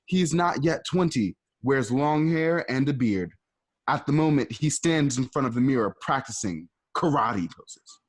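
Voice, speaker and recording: Man narrating a radio play, lively swoops, husky tone, playful. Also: medium pitch, measured pace. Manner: lively, playful, asks and answers his own questions. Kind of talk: narrating stage directions, animated.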